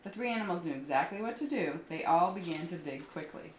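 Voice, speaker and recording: A woman with a fairly low voice tells children's stories aloud. She reads expressively and pauses to explain things to young listeners. The recording is roomy, with faint background noise. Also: lively intonation. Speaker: children's story reader. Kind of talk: storytelling read aloud for children, with asides.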